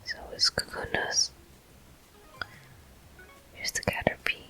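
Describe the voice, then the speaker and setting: A game walkthrough host delivers ASMR commentary entirely in a whisper. The phrases come very slowly, with pauses between them. The breathy hush has a bright, crisp edge and sits close to the microphone. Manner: slow, unhurried phrases with pauses.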